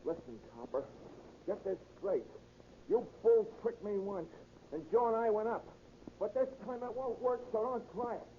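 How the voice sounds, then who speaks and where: Man acting a high-voiced defiant convict, old radio. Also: normal speed, natural voice. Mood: defiant, heated. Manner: slangy, defiant. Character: defiant cornered escaped convict, tough-talking crook. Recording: muffled, noisy 1930s radio recording.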